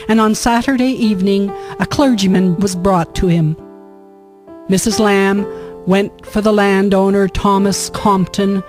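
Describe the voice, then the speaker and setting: Female narrator, mid-range voice, scripted historical radio drama storytelling, music clearly underneath. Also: medium pitch, darkish tone.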